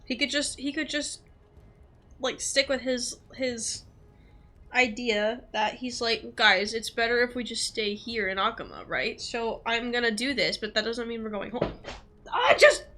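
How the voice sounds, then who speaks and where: A woman's voice: on the high side, measured pace, pushed and bright. A woman streaming a game and giving casual, informal reaction commentary. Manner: informal, frequent "like".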